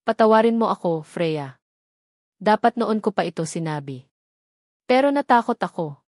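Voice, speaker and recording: A woman's voice: mid-range voice, animated, moderate pace, a little mellow, no echo, natural voice. Woman narrator doing scared older secretary lady confessing, guilty and dramatic, dry audio story sound. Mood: fearful, remorseful. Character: fearful, remorseful older female former secretary confessing secrets.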